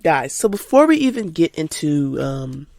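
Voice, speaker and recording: An eighteen-year-old woman chats casually and spontaneously as a podcast host, animated and informal, slightly stuffy from a cold. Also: deep voice, moderate pace, vocal fry, dry recording, clean studio sound. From United States, lives in Georgia. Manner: animated, informal, addresses listeners as y'all. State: recovering from a cold.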